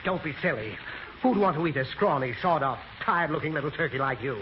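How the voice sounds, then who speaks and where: Actor as a high, blustering, fretful radio father. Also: animated, quick pace, lightly acted. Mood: panicky. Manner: exclamatory, theatrical, flustered. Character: blustering, fretful, cowardly father, dreaming he's a turkey. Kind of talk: 1940s radio comedy acting. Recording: noisy, dull vintage radio broadcast.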